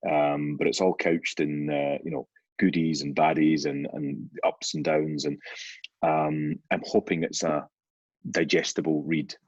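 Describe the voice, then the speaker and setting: A middle-aged man with a Scottish accent, a guest on an interview, talking in a halting way with fillers, restarts and dry humor. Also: very deep voice, little pitch movement, darkish tone, no room sound, highs cut off. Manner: hesitant, many restarts and fillers, wry humor. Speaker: guest author. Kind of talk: casual interview chat.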